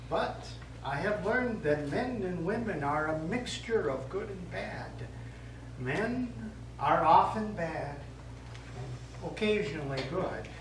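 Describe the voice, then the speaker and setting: Actor as slow, patient priest, echoey stage. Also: male voice, high-pitched, a bit bright, heavily acted. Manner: measured, halting, counselling. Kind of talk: stage play acting. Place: small theatre stage, recorded from afar. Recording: echoey stage, distant mic, clear noise.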